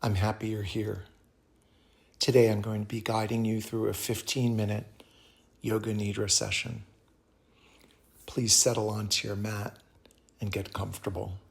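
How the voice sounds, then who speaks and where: A man leading a guided meditation as a yoga teacher, speaking calmly and soothingly in measured phrases with pauses. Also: fairly low pitch, creaky.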